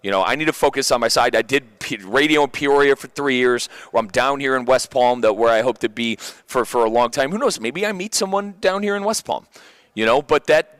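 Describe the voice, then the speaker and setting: A man's voice: medium pitch, dry recording, studio quality. Thirty-year-old man chatting on sports radio. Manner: animated, repeats and stumbles over phrases. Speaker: sports radio show host. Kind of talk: casual radio chat, personal.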